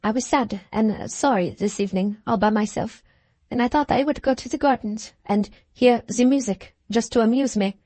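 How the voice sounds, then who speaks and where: A quiet, gentle young foreign lady, played by a woman reader who lifts her voice well above her usual tone. She speaks softly and simply in a storybook, close and dry with no room around her. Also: fairly high pitch, normal speed, no echo, pitched above the performer's own voice, natural voice. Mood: sad, simple. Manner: soft, simple, earnest.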